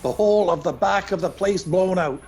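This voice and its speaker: Special agent male actor: earnest, urgent radio drama delivery, high register, heavy music behind. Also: normal speed, creaky, reverberant. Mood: shaken. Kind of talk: live radio play acting.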